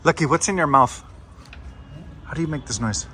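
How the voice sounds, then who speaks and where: A man's voice: a little high, moderate pace. A man working as a travel interviewer, casually asking brief questions and giving short appreciative replies. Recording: loud background noise and clicks.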